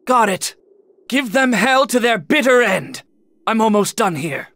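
A woman's voice: on the high side, lively intonation, measured pace, fairly bright tone, dry recording. A woman voicing an arrogant, cold young warrior prince in a video game, curt and commanding. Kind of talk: animated video game voice acting.

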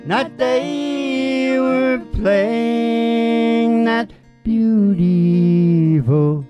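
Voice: medium pitch, drawn-out words. A performer hosting a live acoustic country music show, with guitar in a lo-fi recording. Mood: singing. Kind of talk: casual joking stage banter between acoustic country songs.

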